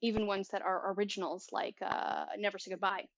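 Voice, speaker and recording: A woman co-hosting a fan podcast, talking in a relaxed way with many fillers and soft agreement. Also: medium pitch, brisk, dry recording, dull audio. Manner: relaxed, lots of "like" and murmured agreement. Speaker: podcast co-host. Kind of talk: casual fan chat.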